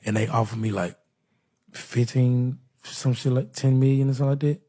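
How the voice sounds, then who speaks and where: Male rapper, rambling boastful interviewee, husky and mellow. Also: mid-range voice, fairly even intonation, moderate pace. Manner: rambling, boastful, casual slang. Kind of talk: interview clip.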